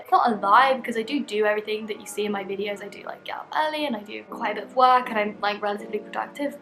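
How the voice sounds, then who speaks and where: A woman's voice: a little high, fairly bright tone. Young woman, southern British accent, candid casual vlog chat, roomy echo, faint music behind. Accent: southern British English. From London, England. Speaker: vlogger.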